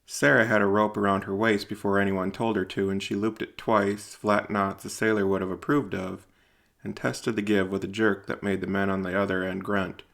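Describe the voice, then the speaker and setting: Low, creaky-voiced male storyteller. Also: flat delivery, fairly bright tone. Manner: measured, deliberate, literary delivery. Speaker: narrator and writer-performer. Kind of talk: scripted audio drama narration.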